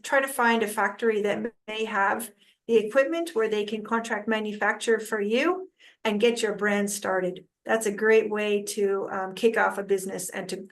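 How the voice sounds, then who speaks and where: A middle-aged woman introducing herself and giving business advice on a virtual panel, explaining in a measured way. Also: on the high side. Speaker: panelist, food company managing director. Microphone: video call.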